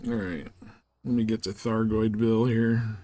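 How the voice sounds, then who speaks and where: A man's voice: on the low side, steady tone, normal speed, dark tone. A man chatting casually and informally on a live game stream, rambling through his explanations.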